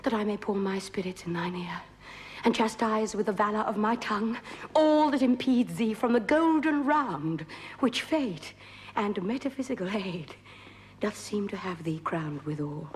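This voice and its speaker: A woman playing a ruthless, ambitious noblewoman in a theatrical Shakespearean soliloquy, moving between breathy whispers and intense declamation. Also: medium pitch, expressive, measured pace, a little hiss or hum, natural voice. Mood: fierce resolve.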